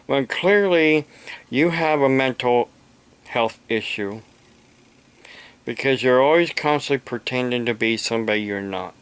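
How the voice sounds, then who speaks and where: A man talking unscripted to camera in a halting, deliberate, aggrieved way, like a homemade video monologue. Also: mid-range voice, clean tone. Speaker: amateur radio operator, video blogger.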